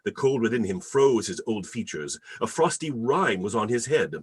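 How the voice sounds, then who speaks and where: Theatrical, husky man narrating, very animated. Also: medium pitch. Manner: theatrical, deliberate, emphatic phrasing. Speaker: announcer and narrator. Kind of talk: old-time radio announcing and storytelling. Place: remote video call reading.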